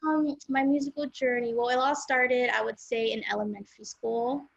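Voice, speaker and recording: Creaky-voiced young woman chatting casually. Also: a little high, moderate pace, no echo, lo-fi sound. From South Central Los Angeles, USA. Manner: relaxed, rambling, with many fillers like "um" and "like". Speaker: guest singer-songwriter. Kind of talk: casual interview chat.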